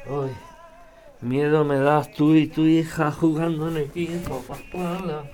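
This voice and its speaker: A man playing a stubborn, soft-hearted older pensioner in a radio soap, grumbling and protesting, with an accent from Spain. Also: a little high, level tone, unhurried, close to an everyday voice. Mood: wry. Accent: Spanish from Spain.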